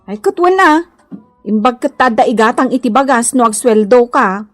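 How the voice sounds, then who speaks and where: A woman playing a whiny, struggling Ilocano wife and mother in a radio comedy drama, with exclaiming, drawn-out delivery. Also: on the high side, lively intonation, a bit bright, faint music behind. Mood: surprised. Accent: Ilocano Filipino.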